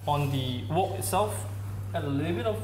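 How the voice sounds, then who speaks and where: A man's voice: a little high, expressive, fairly quick. A middle-aged man with a Singaporean accent, giving informal cooking instructions as a chef. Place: indoor showroom kitchen. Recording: echoey room, loud kitchen noise.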